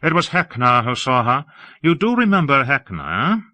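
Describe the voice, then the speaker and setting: A man's voice: mid-range voice, sing-song, moderate pace, sharp tone, no room sound, voice pushed up. A man voicing a languid, teasing male socialite in an audiobook reading. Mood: airy, breezy. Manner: breezy and airy.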